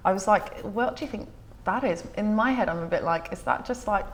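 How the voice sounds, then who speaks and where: A woman hosting a casual podcast interview, her voice in an ordinary middle pitch. She asks enthusiastic, rambling questions packed with fillers. Faint noise sits under the recording. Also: fairly quick. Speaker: podcast host.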